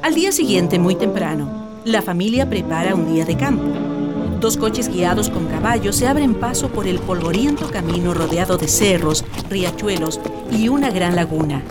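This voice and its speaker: A woman's voice: on the low side, expressive, moderate pace, over loud music. A woman reading scripted narration for a radio drama as its storyteller.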